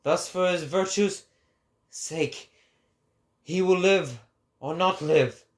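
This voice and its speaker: An exalted male prophet, as a man acts him out in a dramatic philosophical reading. He declaims solemnly and very slowly, weighting each phrase with emphasis. His voice sits a little high.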